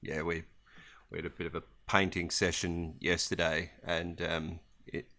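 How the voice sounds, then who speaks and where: A man's voice: deep voice, little pitch movement, measured pace, pushed and bright. A man, around his thirties or forties, co-hosting a podcast in casual chat, hesitant and understated. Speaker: podcast co-host.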